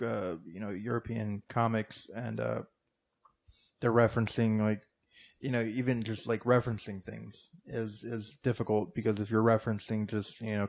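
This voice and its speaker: A man's voice: lowish voice, gravelly. An American man chatting casually on a comedy podcast, rambling through his points with lots of fillers and restarts. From United States. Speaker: podcast co-host or guest.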